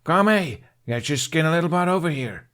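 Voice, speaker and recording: Guy as a big bossy viking mom, loud and barking, pitched up, storybook style. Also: lively intonation, normal speed. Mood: bossy yelling.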